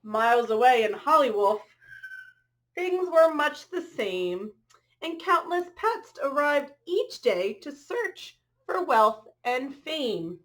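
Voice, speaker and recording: A woman's voice: high voice, big pitch swings, slow, a bit bright, poor audio. A woman reading a children's picture book aloud expressively, adding chatty asides and drawing listeners in with questions and exclamations.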